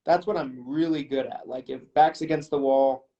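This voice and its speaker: A man's voice: mid-range voice, fairly even intonation, moderate pace, dark tone. A man in casual chat, thinking aloud with ums and a self-deprecating manner. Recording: slightly dull lo-fi audio.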